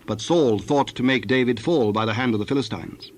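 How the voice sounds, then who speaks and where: A man narrates scripture in a measured, formal manner. His voice is mid-pitched, with faint background ambience behind it. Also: slight background noise.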